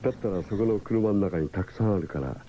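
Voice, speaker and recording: A man's voice: fairly low pitch, quick pace, mellow, a little hiss or hum, lightly acted. An actor playing a sly, callous male kidnapper in a 1970s radio drama. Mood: smooth, luring. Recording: dull old radio recording with music.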